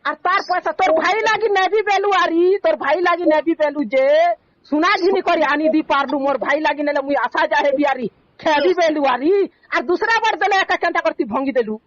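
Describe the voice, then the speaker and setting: A woman's voice: high-pitched, a little fast, a bit bright, dry recording. A woman with a Sambalpuri accent argues on a recorded phone call, scolding and accusing insistently and repeating her phrases. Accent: Sambalpuri (western Odisha). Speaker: caller in a family dispute. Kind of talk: heated argument on a phone call.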